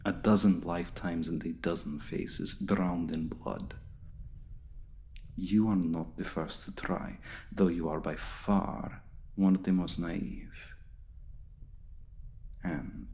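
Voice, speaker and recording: A man playing an ancient, seductive and menacing vampire lord in an ASMR roleplay, speaking in a deliberate, suave, condescending and hypnotic manner, often sinking to a hushed murmur. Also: deep voice, steady tone. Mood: proud, menacing. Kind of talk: ASMR roleplay, intimate dramatic monologue.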